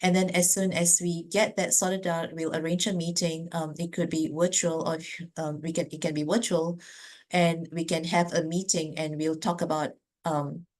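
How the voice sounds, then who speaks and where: A woman with a Malaysian accent answering business owners' questions in a webinar, earnest, with many fillers and restarts, on a video call mic. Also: low voice. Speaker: business advisor.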